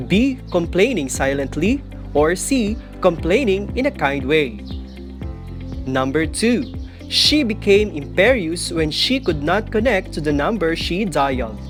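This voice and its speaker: A woman teacher giving scripted, instructional narration for a video lesson. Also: deep voice. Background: music.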